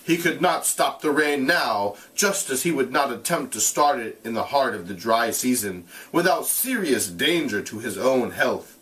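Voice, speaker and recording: A man reads a story aloud as a teacher would, at a medium pitch. His intonation is very animated, and he sits a little away from the mic. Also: moderate pace, hardly any pauses, far from the mic, low-quality recording. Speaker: teacher reading a novel aloud. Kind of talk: read-aloud narration with casual explanatory asides.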